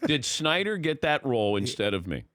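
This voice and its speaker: A middle-aged man hosting a sports talk show, interviewing his guest in a playful, teasing way with quick questions. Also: mid-range voice, big pitch swings, fairly bright tone, no echo, clean studio sound. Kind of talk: casual interview chat. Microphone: studio mic.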